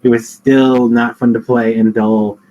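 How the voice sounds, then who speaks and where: A young man with a mid-pitched voice hosts a casual chat and tells stories loosely, with plenty of "like" and frequent laughs. The audio sounds slightly dull and lo-fi. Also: flat delivery, unhurried, warm tone. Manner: filler-heavy storytelling, laughs often. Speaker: podcast host.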